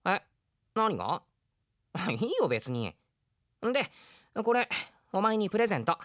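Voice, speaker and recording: Guy doing a boisterous young adventurer boy, breezy, pitched up, swoops all over, radio drama. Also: very animated, measured pace, fairly bright tone, no echo, clean studio sound, full character voice. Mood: kind, casual. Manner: boyish, breezy and eager. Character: carefree, boisterous young male adventurer, kind to his companion.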